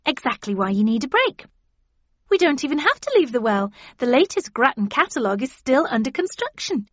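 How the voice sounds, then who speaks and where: A woman's voice: mid-range voice, lively intonation, moderate pace, husky, no room sound, dull audio. Woman acting a girlish young woman, gushing, vain, childish exuberance, chatty storybook character, dry close sound. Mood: pleading, eager.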